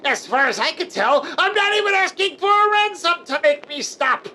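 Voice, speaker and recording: A woman's voice: very high voice, expressive, normal speed, pushed and bright, slight background noise, exaggerated voice. A woman voicing a deranged, foul-mouthed villain in a kids' cartoon parody, mocking and theatrical. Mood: indignant, mocking.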